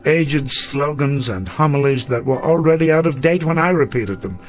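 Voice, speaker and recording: A man's voice: fairly high pitch, moderate pace, soft background music, natural voice. An older man acting in a radio drama as a weary old professor full of regret, speaking in a formal, literary way. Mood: despairing regret. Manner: formal, literary, reflective speech.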